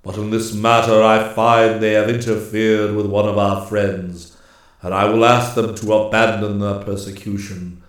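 A man voicing a grave, ancient immortal master of the forest in a storybook, speaking in stern, stately, deliberate pronouncements. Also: lowish voice, flat delivery, very echoey, lightly acted. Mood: grave, approving. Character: grave, commanding ancient immortal master woodsman, bearded male.

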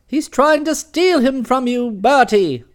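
A man voicing a booming, imperious older aunt who blusters and scolds. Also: expressive, slow pace, exaggerated voice. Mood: warning.

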